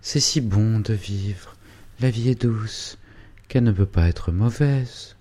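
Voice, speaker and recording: A man's voice: on the low side, slow pace, mellow, voice pushed up. A man reading a storybook voicing a naive young woman who dreams aloud, airy and rapturous. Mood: dreamy.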